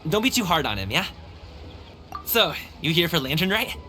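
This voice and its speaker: A man's voice: on the high side, sing-song, moderate pace, sharp tone, faint music behind. A man playing a cheerful, friendly young male guard in a video game, chatty, upbeat and reassuring. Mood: friendly, easygoing. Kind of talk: animated video game voice acting.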